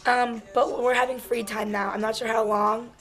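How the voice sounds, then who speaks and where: A teenage girl vlogging casually at arm's length, hoarse from losing her voice, bubbly and excitable. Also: on the high side, normal speed, faint music behind. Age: teens. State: hoarse, lost voice. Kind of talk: casual vlog chat. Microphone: handheld camera at arm's length.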